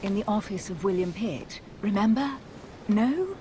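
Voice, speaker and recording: A woman's voice: lively intonation, measured pace, dark tone, lightly acted. A woman playing a poised, flirtatious and enigmatic duchess in a video game, refined and teasing. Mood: coolly evasive. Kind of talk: video game cutscene acting. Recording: constant rumble behind the voice.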